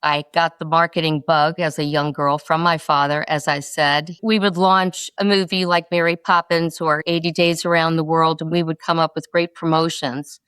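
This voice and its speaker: A middle-aged woman from North Carolina, a podcast guest answering interview questions in quick, expressive stories. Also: low-pitched, smooth, no echo.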